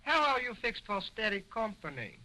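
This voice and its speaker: A man's voice: high-pitched, very animated, moderate pace, pushed and bright, heavily acted, poor audio. A man voicing a cheeky male ventriloquist dummy with impudent wisecracks, in early film acting style.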